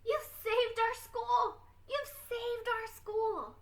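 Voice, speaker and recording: A woman's voice: very high-pitched, drawn-out words, pitched above the performer's own voice, exaggerated voice. A woman voicing a male school head in a children's storybook, a man given to sighs and grand announcements. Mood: jubilant. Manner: sighing, then exclaiming and declaring. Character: gloomy man, a school head, who turns jubilant.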